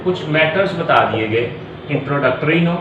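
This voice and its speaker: A man with a fairly high-set voice delivers an explanatory lecture. He works through each point step by step, often repeating phrases. The recording has strong room echo and a faint layer of noise behind him. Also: lively intonation, moderate pace, highs cut off. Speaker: law lecturer. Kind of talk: explanatory lecture mixing Urdu and English.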